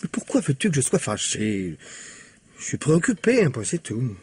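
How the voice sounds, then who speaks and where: A man's voice: medium pitch, wildly swooping pitch, normal speed, a little hiss or hum. An actor playing an irritable, preoccupied businessman and husband with evasive, curt replies, in the style of 1950s French radio mystery drama. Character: irritable, preoccupied married businessman, secretly having an affair.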